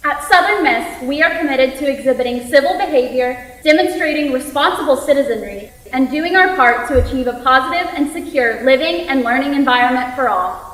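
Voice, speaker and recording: Young female student performer, high register, scripted theatrical delivery, roomy echo. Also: lively intonation, fairly quick, hardly any pauses, a bit bright. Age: young adult. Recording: echoey room.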